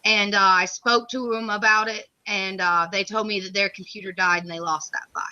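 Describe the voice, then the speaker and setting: A woman with a loud voice chatting casually on a computer microphone while streaming art work. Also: medium pitch, steady tone, fairly quick, dry recording, highs cut off. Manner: loud voice, says so herself. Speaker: community project lead. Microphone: computer microphone on a voice chat.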